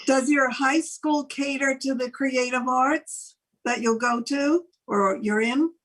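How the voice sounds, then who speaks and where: A woman's voice: high voice, slow pace, rough recording. An older woman speaking casually as the host of a talk show, interviewing a guest.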